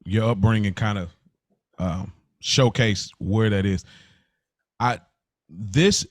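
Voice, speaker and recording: An American man in his thirties co-hosting a podcast in casual, slangy talk, telling things in an animated way and repeating words for emphasis. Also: fairly low pitch, slow pace, dry recording, clean studio sound. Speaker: podcast co-host. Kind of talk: casual unscripted podcast chat.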